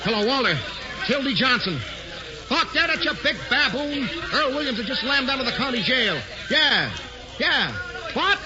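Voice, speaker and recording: A brash wisecracking reporter. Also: male voice, fairly high pitch, sing-song, fairly quick, pauses often, over music, crowd noise. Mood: excited. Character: brash fast-talking male newspaper reporter. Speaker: lead actor. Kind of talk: 1940s radio acting. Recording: dull 1940s radio broadcast.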